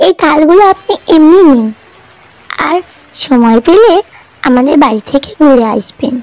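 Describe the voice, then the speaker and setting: A woman's voice: high-pitched, expressive, moderate pace, a little mellow, no room sound. A woman voicing an eager, hopeful poor little village girl in a cartoon. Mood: earnest. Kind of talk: cartoon voice acting.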